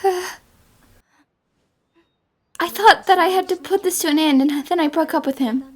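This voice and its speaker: A woman's voice: very high voice, normal speed, darkish tone. A woman voicing a shy, self-deprecating, remorseful young countrywoman in an anime dub. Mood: regretful. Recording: background music under the dialogue.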